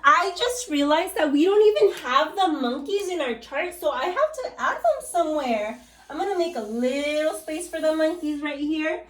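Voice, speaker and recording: High-voiced woman teacher. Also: expressive, normal speed, reverberant, slight background noise. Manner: encouraging, addresses class as scholars. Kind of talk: class read-aloud and lesson.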